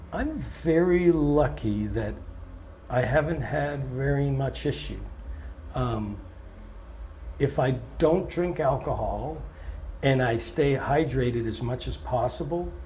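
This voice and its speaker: A touring blues singer and guitarist with a scratchy voice, answering interview questions in a relaxed, thoughtful way and often pausing on "um". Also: male voice, mid-range voice. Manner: relaxed and thoughtful, many ums, restarts now and then.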